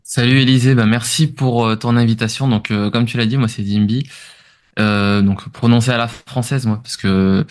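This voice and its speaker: A man's voice: mid-range voice, steady tone, moderate pace. A man with an accent from France giving informal, explanatory interview answers as a podcast guest, somewhat hesitant in his delivery. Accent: French from France.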